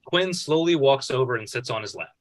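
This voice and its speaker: A man's voice: medium pitch, lively intonation, brisk. A man reading screenplay stage directions as narrator at a table read.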